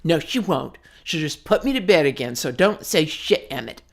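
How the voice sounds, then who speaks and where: A woman's voice: sits low, expressive, normal speed. A woman reading the part of an angry, bossy teenage boy in an audiobook story. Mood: bossy, defiant. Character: hot-tempered, threatening teenage boy.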